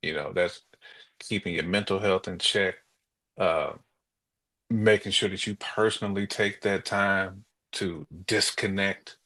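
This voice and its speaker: A man's voice: sits low, fairly even intonation, unhurried, pushed and bright. A man co-hosting a talk show, chatting casually in colloquial, down-home phrasing. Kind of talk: casual conversational chat.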